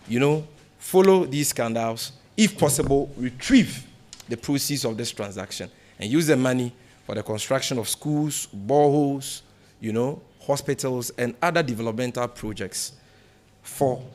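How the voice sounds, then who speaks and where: Male political spokesperson, Ghanaian accent, medium register, formal and deliberate press-conference delivery with emphatic repeats. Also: medium pitch. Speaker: opposition party spokesperson at a press briefing. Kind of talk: press conference remarks, answering questions.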